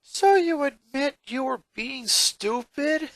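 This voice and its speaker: Someone voicing an exasperated, high-pitched young cartoon boy. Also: lively intonation, slow pace, a bit bright, no room sound, full character voice. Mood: smug. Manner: indignant, pleading, emphatic.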